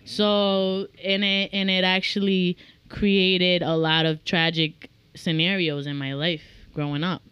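A woman in her thirties from the Dominican Republic, a realtor talking openly in a casual podcast chat, animated and laughing often. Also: on the low side, clear, resonant tone, no room sound, dull audio. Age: 30s. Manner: animated, laughing, talks in quick bursts. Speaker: realtor guest.

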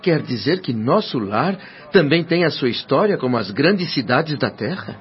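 An actor playing a curious, awestruck doctor newly arrived in the afterlife, in radio soap style. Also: male voice, mid-range voice, very animated, moderate pace, a little hiss or hum, close to an everyday voice. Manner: wondering, questioning, theatrical. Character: awestruck, curious newly dead doctor visiting a spirit city. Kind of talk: Brazilian radio soap acting. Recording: dull radio drama with music behind.

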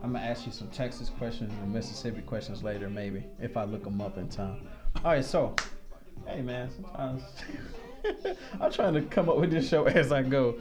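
A man's voice: mid-range voice, normal speed, over music. A young man hosting a casual podcast chat, loose and laughing, often stumbling and restarting his sentences. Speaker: podcast host.

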